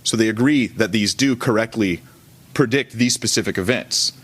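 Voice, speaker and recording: A man's voice: lowish voice, normal speed, a bit bright, breathy edge. A man who is a pastor, teaching an informal sermon in a conversational, colloquial manner, often correcting himself as he goes. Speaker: pastor teaching a church service.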